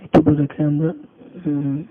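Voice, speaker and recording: A man giving an unscripted step-by-step tutorial, halting and repeating phrases as he works. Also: on the high side, completely flat delivery. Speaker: tutorial instructor. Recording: muffled phone-like audio with background noise.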